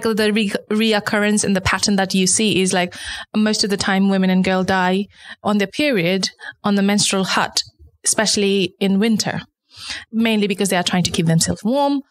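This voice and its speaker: A woman from eastern Nepal with a Nepali accent, answering interview questions in an explanatory, outspoken, conversational way. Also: mid-range voice. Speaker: interview guest, company founder.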